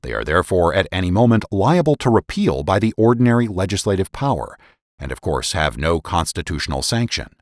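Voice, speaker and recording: A man with a low voice gives a dramatic, formal reading as a narrator. His pitch rises and falls widely as he stresses key phrases like an orator. The sound is dry and close. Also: big pitch swings, brisk, dry recording. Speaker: podcast host and narrator. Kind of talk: dramatic reading of historical political essays.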